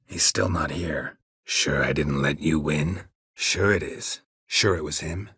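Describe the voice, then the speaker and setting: A man voicing a gruff, weary monster hunter in a fantasy video game, with a husky rasp and terse, deadpan, sardonic sentences. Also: a bass voice, a little slow, no echo, full character voice. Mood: dry, guarded. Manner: terse, clipped, deadpan sentences with dry sarcasm.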